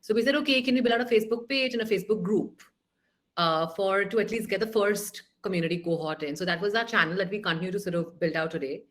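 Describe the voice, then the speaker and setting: A woman, a founder speaking on a panel over a video call, animated and thinking aloud with frequent restarts. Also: mid-range voice, quick pace. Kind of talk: panel discussion.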